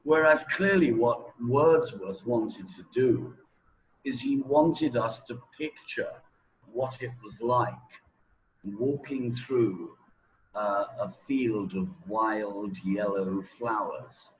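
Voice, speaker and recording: A man of sixty, a native English speaker, explaining his views in an interview in a halting, deliberate way, as if thinking aloud. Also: lowish voice, slight background noise, poor audio. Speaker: teacher trainer in reading, interview guest. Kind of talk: interview talk, explaining opinions.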